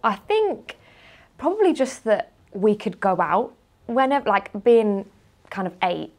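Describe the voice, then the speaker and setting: A woman's voice: mid-range voice, very animated, unhurried. A woman in her twenties with a British accent, speaking informally and telling anecdotes as a guest in a casual interview. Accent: British English. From grew up partly in Spain, lives in the UK. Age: 20s. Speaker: interview guest, marketing manager.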